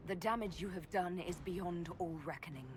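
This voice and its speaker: A woman voices a stern leader with a lowish, firm voice and direct delivery. A clear hiss runs under the game recording. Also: normal speed, natural voice. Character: stern female leader judging prisoners. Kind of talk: video game voice acting.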